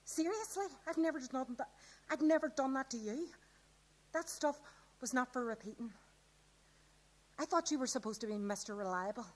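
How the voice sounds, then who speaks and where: A woman's voice: sits high, normal speed, pauses often, lightly acted. A woman acting a touchy but affectionate teenage girl in a live radio play, earnest and naturalistic. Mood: betrayed, angry.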